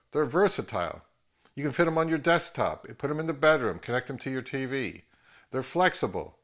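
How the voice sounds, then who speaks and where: A man giving a casual, plain-spoken product review of audio gear in a measured, conversational way. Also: mid-range voice, a bit bright.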